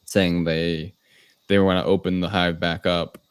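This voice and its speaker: Deep, smooth-voiced man recapping casually. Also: little pitch movement, unhurried, no echo. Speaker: podcast co-host. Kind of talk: casual movie recap discussion.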